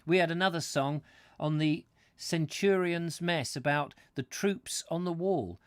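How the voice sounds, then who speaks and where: A man's voice: fairly high pitch, unhurried, clean tone, dry recording, voice pushed up, lightly acted. A man voicing a hearty Roman centurion reminiscing in an exclamatory storytelling manner.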